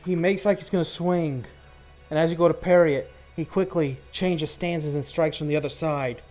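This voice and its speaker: A man with a slightly high voice runs a tabletop fantasy game over background music. He improvises his narration in loose, conversational phrasing, painting each action as game master. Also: normal speed, darkish tone.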